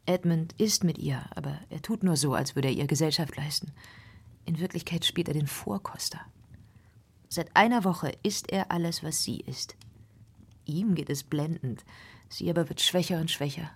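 A Victorian lady of the house confides in a low, husky voice. She is earnest and anguished, with the formal manners of a radio crime drama. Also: moderate pace.

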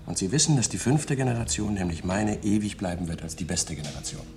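A man's voice: deep voice, fast, darkish tone, soft background music, close to an everyday voice. An actor playing a smug young male slave in a science fiction radio play, speaking in an emphatic, self-important way. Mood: smug, boastful. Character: boastful young male slave, smug about his superior generation.

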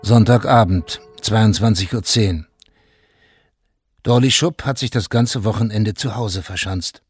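An actor serving as the narrator of a radio crime drama, telling events in a measured, factual way. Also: male voice, lowish voice, soft background music, lightly acted. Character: sober omniscient storyteller of a crime chronicle.

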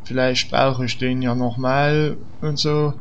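A man's voice: mid-range voice, little pitch movement, slow pace. A man playing a naive, dim adventurer in a fantasy parody radio play, hesitant and polite. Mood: reluctant. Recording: dull lo-fi sound, faint noise.

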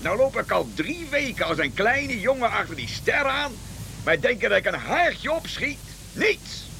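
A male actor playing an excitable, bashful European king in a comic radio play, politely flustered and repeating himself in hesitant bursts. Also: extremely high pitch, measured pace, gravelly, slight background noise, exaggerated voice. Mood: exasperated.

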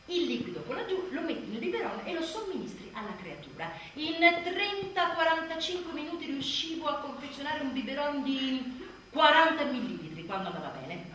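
A woman's voice: high-pitched, sharp tone, exaggerated voice. An actress playing an overwhelmed new mother in a wry, emphatic theatrical monologue, with abrupt swings in volume. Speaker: stage actress performing a monologue. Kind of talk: theatrical comic-dramatic monologue. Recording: echoey room, distant mic, faint noise.

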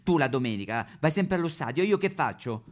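A man's voice: on the high side, expressive, a little fast, close to an everyday voice. A man playing a needy, sentimental, exasperated wife and mother in a satirical stage sketch.

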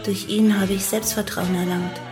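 A woman's voice: medium pitch, little pitch movement, moderate pace, lightly acted. An actress playing an enthralled, devoted young female cult follower, confiding her memories in a radio play. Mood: devoted, intimate. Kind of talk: German radio play monologue. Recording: music behind the voice.

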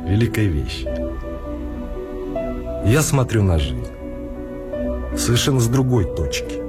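An actor playing a conceited card swindler outraged at being outwitted, in theatrical stage comedy style with stammering repetitions and exclamations. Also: male voice, fairly low pitch, very animated, unhurried. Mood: smug, reflective. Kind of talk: classic Russian stage comedy acting. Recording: music behind the voice. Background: music.

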